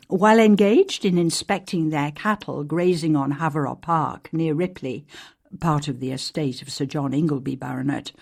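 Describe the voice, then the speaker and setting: Woman w a fairly low voice reading out some old timey ghost story, formal and measured. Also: gravelly, a little mellow. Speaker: actor reading a historical newspaper article as a ghost story. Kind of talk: scripted narration of an archaic newspaper account.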